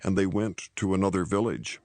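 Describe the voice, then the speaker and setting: A man narrating scripture in a solemn, measured and formal reading style. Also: deep voice, steady tone, darkish tone, no room sound.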